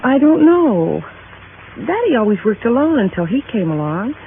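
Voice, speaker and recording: A woman's voice: fairly high pitch, sing-song, normal speed. An actress playing a proper, anxious young married woman in a 1950s radio drama, polite and earnest. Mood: uneasy. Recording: dull, noisy 1950s radio recording.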